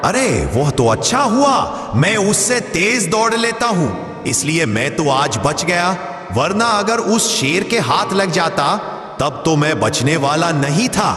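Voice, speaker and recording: Man as cartoon tiger, lively intonation, wary, smug, muttering to himself, strong reverb. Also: fairly high pitch, slow, exaggerated voice. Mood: relieved, wary. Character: quick, wary male tiger, self-satisfied survivor. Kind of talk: animated moral story monologue. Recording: echoey, long reverb.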